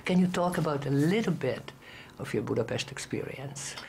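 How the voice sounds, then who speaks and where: Interviewer, mid-pitched voice, earnest, long winding questions, faint background noise. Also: animated, normal speed, gravelly, darkish tone. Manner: earnest, wordy questions with restarts. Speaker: entertainment interviewer. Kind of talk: press junket interview questions.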